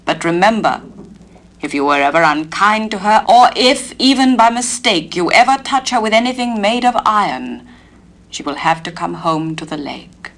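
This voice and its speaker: A woman's voice: mid-range voice, lively intonation, moderate pace, a bit bright. A woman reading the part of a tall, splendid old fairy father, giving solemn warnings. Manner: solemn, rising to urgent warnings.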